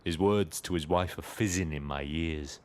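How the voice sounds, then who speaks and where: A lonely British cab driver narrates in a very low voice. He is measured and observant, with a brooding air suited to scripted audio drama. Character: lonely, watchful male Brighton taxi driver. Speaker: narrator and lead character.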